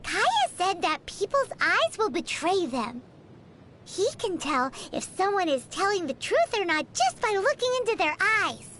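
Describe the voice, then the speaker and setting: A woman voicing a tiny, childish, cheeky fairy companion in a video game, with a squeaky voice. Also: sing-song, unhurried, a bit bright, slight background noise, full character voice. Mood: chatty. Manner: refers to self in third person, whiny, exclaiming. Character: tiny floating fairy girl companion, childish, cheeky, greedy, food-loving. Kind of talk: video game character acting.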